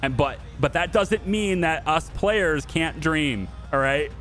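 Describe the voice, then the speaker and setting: A man's voice: fairly high pitch, takes it slowly, fairly bright tone, soft background music. A man hosting a gaming video, talking casually and off the cuff to viewers in a chatty, rambling way. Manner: chatty, rambling, thinking aloud, addressing viewers and developers directly.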